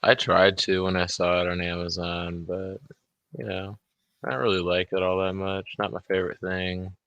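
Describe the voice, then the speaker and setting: A man in casual chat, laconic and low-key, with blunt short remarks. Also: level tone, normal speed, gravelly, fairly bright tone, no echo, dull audio.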